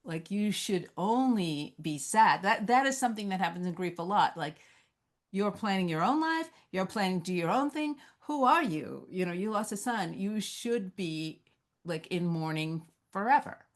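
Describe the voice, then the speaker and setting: A woman's voice: mid-range voice, expressive, normal speed. A woman moderating a script-reading Q&A in a conversational, reflective and encouraging way, passing on audience comments.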